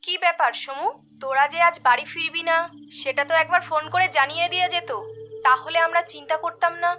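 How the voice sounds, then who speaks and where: Woman doing fretful scolding cartoon mom on the phone, very high sharp voice, music behind. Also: steady tone, quick pace. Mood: worried, scolding. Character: worried, scolding middle-aged mother on phone. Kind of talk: Bengali cartoon voice acting.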